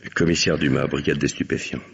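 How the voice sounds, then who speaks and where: An actor playing a calm, courteous, gravelly police commissioner in a vintage French radio drama, measured and reassuring. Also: male voice, extremely low pitch, heavily acted. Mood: calm, probing. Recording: dull lo-fi old radio recording.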